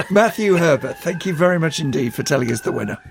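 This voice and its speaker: A man's voice: fairly high pitch, lively intonation, a little fast, gravelly, noisy background. A man presenting live radio news, interviewing a guest with a wry, teasing manner.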